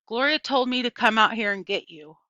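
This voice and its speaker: A cocky young country boy, as a woman reader puts him on, teasing and casual. The voice is bright and often lifts higher, on a dry, slightly dull storybook recording. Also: expressive, a little fast, no echo. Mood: easygoing.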